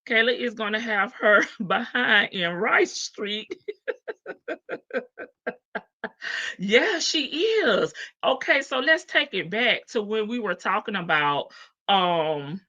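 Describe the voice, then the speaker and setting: A woman's voice: mid-range voice, lively intonation, slow pace, pauses often, bright, forceful tone, highs cut off. A woman hosting a livestream, chatting playfully about a court case, laughing often and greeting viewers by name. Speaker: livestream host. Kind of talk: casual gossip commentary on a court case.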